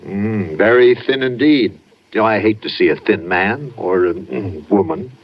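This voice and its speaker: An actor playing an older, avuncular, slightly pompous judge in a 1950s radio drama. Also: male voice, on the low side, measured pace. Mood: hearty, appraising. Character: hearty older male judge, avuncular but pointed family friend. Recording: muffled 1950s radio recording.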